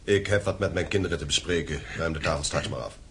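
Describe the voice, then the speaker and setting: A stern landowning patriarch in a radio play. His voice is very deep and heavily husky, and he speaks with formal, domineering command. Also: quick pace, fairly bright tone, full character voice.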